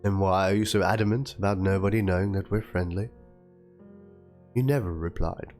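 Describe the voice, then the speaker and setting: Man playing a low-voiced, theatrical, wounded woman. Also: steady tone, moderate pace, a little mellow, natural voice. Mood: hurt, pleading. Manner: theatrical, plaintive, spells out chat slang like "lol". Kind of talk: dramatic reading of a written document and chat logs. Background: guitar music.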